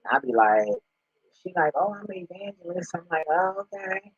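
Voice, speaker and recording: Husky, blunt man in a roomy, muffled recording. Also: big pitch swings, brisk. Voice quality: husky, deep voice. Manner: blunt, profane, streetwise slang. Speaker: online spiritual commentator. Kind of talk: casual, unscripted rambling talk. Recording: roomy, dull lo-fi home recording.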